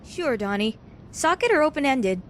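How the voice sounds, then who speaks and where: A woman's voice: sits high, big pitch swings, moderate pace, slight background noise. A woman playing a keen, friendly young science assistant in an audio drama, casual and eager. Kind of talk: conversational audio drama acting.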